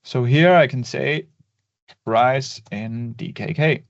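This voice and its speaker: A man narrates a screen-recorded spreadsheet lesson in a relaxed, step-by-step way, often pausing on fillers. His voice sits at an ordinary middle pitch. The recording is dry with no room sound, and a little dull in tone. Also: creaky, no room sound. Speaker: Excel tutorial instructor. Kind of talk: screen-recorded software tutorial. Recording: dry, slightly dull voice-over.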